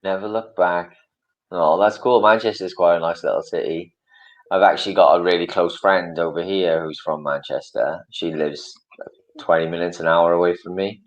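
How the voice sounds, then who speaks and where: A man's voice: sits low, moderate pace, highs cut off. A man from Wales, a podcast guest in casual, rambling chat. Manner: chatty, rambling, animated impressions.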